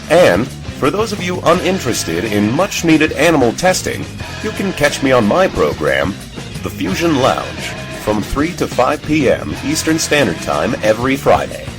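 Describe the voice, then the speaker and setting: A male radio host reads a tongue-in-cheek promo. His mid-pitched voice is mock-sincere and very animated. Music plays clearly underneath. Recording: music behind.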